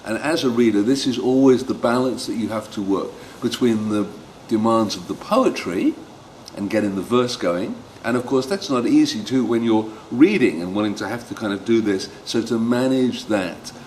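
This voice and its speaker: A man giving an instructional demonstration as a storyteller, speaking expressively and pausing for emphasis, with some background noise. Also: on the low side, animated, darkish tone, rough recording. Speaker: storyteller teaching read-aloud technique.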